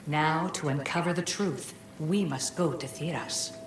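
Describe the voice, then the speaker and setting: An actress playing an ancient godlike being in a video game, speaking in a serene, formal, oracular and cryptic way. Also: female voice, sits low, unhurried, noisy background. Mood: solemn. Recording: echoing voice with music behind.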